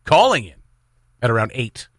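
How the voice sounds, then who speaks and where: A man's voice: mid-range voice, very animated, moderate pace, a bit bright, no room sound. A man hosting a morning radio show with casual, joking, rambling banter and announcements. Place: radio studio.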